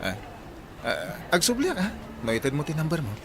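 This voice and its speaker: A man's voice: fairly high pitch, huge pitch swings, moderate pace, noisy background. A man acting as a flirtatious, scheming married man in a comedy-drama radio play.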